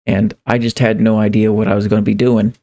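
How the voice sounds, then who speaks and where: Guy streaming casually, creaky relaxed voice, rambles and repeats himself. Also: lowish voice. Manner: rambling, halting, repeats phrases as he thinks aloud. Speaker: live streamer. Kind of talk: casual livestream chat, reading viewer comments.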